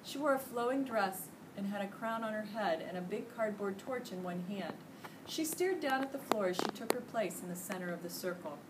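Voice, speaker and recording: A woman's voice: mid-range voice, lively intonation, normal speed. American woman reading aloud, distant and noisy. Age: middle-aged. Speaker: teacher reading aloud to pupils. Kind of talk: expressive children's book read-aloud. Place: classroom, indoors. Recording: distant mic, loud room noise.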